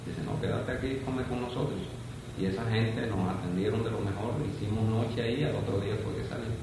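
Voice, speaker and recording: An older man with a Cuban accent slowly recalling old times in an oral history interview, rambling with folksy asides. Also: fairly low pitch, steady tone. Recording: echoey room from far off, noisy.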